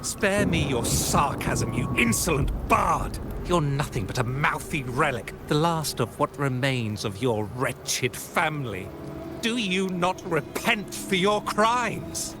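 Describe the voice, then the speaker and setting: An actor playing a haughty male acolyte in a video game, speaking with drawn-out contempt. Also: a little high, lively intonation, music in the background. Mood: scornful. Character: scornful, haughty male acolyte of a religious order. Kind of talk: dramatic video game voice acting.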